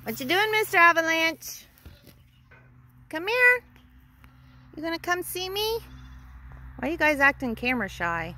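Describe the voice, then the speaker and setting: A woman's voice: very high-pitched, big pitch swings, a little slow, pauses often, pushed and bright, slight background noise. A woman casually narrating a walk around her farm on a phone camera, chatty and cooing sweetly to her animals. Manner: chatty, talks sweetly to animals, unsure of breeds. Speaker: vlogger touring her farm. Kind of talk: casual walking tour narration. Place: outdoors on a farm among animals. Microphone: phone camera held while walking.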